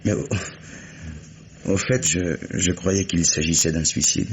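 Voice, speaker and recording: An actor playing a touchy crime suspect in a French radio mystery, answering with indignant, evasive, sarcastic politeness. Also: male voice, deep voice, fairly even intonation, a little slow, breathy edge. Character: male crime suspect, touchy, sardonic former swindler. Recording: faintly noisy old radio recording.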